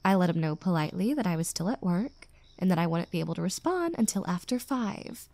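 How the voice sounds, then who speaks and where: A woman's voice: on the low side, big pitch swings, brisk, darkish tone, no room sound. A woman playing an exasperated single young woman venting about a bad date in an intimate audio roleplay, with sarcastic asides. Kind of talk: roleplay audio drama, intimate conversational monologue.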